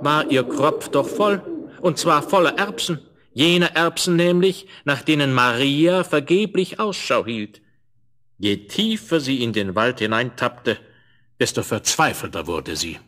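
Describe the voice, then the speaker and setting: A man narrating a scripted fairy tale in a measured, expressive storyteller's manner. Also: mid-range voice.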